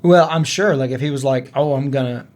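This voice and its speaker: A man's voice: mid-range voice, brisk, a little mellow. A man co-hosting a podcast in casual conversation, musing informally with lots of filler words like "like". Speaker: podcast co-host.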